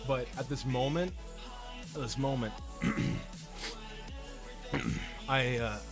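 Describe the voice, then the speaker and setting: Young male game streamer, hoarse from talking loud all day, rambly chat over faint music. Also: mid-range voice, slow. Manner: rambling, restarts often, talks loud and a lot. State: throat strained, losing his voice. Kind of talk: casual chat with live chat viewers.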